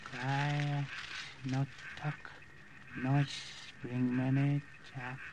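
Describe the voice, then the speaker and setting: An actor playing a terse, wary native jungle scout who speaks halting, broken English in an old radio drama. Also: male voice, medium pitch, flat delivery. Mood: hushed, wary. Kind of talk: 1950s radio drama acting. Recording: old noisy radio recording.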